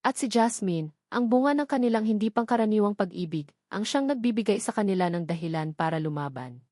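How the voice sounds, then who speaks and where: A woman's voice: medium pitch, lively intonation, fairly quick, darkish tone, no room sound. A woman narrating a dramatic audio love story in an earnest storytelling way, close to the mic.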